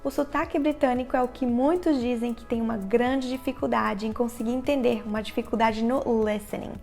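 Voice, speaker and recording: A woman with a Brazilian Portuguese accent explaining English listening tips directly to the viewer in a clear, instructional vlog narration. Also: a little high, fairly even intonation, brisk, darkish tone, soft background music. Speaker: English teacher, channel host. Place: living in the United States.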